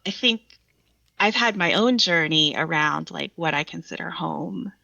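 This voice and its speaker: A woman's voice: on the low side, animated, measured pace, creaky, sharp tone, dry recording, muffled recording. A Vietnamese American woman poet speaking thoughtfully and reflectively as a guest. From Vietnamese American, from the United States. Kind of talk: reflective conversation and poetry reading.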